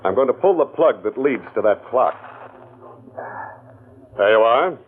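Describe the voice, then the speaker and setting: A man's voice: mid-range voice, expressive, measured pace, a little hiss or hum, lightly acted. An actor playing a stern male detective calling out a liar, in a 1940s radio drama. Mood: accusing. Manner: accusing, matter-of-fact. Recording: tinny old radio broadcast.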